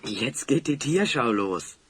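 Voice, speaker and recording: An actor playing a calm, dryly wry adventurer in an audio drama. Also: male voice, mid-range voice, slow pace, slightly rough, no room sound, exaggerated voice. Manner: dry, wry. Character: calm, wry male adventurer, steadying his companion. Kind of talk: German audio drama acting.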